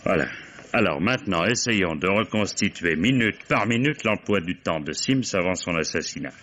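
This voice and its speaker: An actor playing a shrewd, mock-courteous, methodical German police commissioner interrogating suspects in a vintage French radio mystery. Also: male voice, sits low, animated, normal speed, a bit bright. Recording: dull old radio-play recording.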